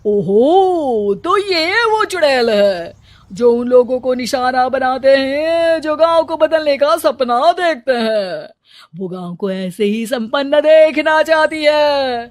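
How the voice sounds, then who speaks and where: A woman's voice: sits high, expressive, slow pace, voice pushed up. A woman voicing an alarmist holy man in a cartoon moral story, giving dramatic warnings about a witch. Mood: ominous. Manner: ominous, dramatic.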